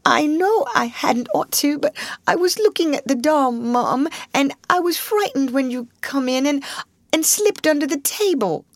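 A woman's voice: sits high, expressive, normal speed, dry recording, voice pushed up. A woman voicing a timid young scullery maid who pleads tearfully, stammers and talks with uneducated grammar. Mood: pleading.

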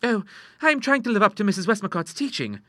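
Audiobook role: polite, contented young daughter played by a man, raised pitch, dry close sound. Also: sing-song, fast talker, fairly bright tone, no room sound. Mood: contented, eager. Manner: polite, airy, earnest, hesitating. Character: eager, self-assured young daughter, calmly contented amid mischief. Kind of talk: audiobook character voice.

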